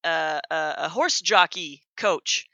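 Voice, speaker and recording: Bright-voiced woman guest, halting and self-mocking, dry sound. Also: low-pitched, dry recording, lo-fi sound. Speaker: podcast guest. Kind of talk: casual chat.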